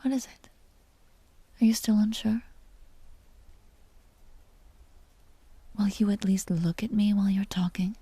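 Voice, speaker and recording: Breathy, slow-whispering vampire duchess, acted by a woman. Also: mid-range voice, dark tone, no echo, exaggerated voice. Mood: coaxing, hypnotic. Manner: slow, teasing, genteel and commanding. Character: seductive, possessive vampire duchess, commanding yet tender. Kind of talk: intimate whispered roleplay audio drama.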